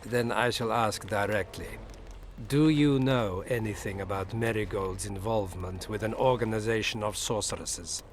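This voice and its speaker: An actor playing a suave, middle-aged imperial ambassador in a video game, formal, measured and insinuating. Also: male voice, on the low side, a little hiss or hum, close to an everyday voice. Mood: politely probing. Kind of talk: video game dialogue acting. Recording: music behind the voice.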